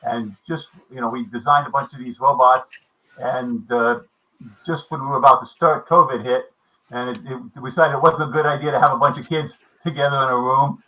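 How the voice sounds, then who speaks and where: Man, 70s, bright tone, rambling, halting restarts, casual meeting chat. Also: medium pitch, fairly even intonation, rough recording. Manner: rambling, many restarts and fillers. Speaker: maker club member.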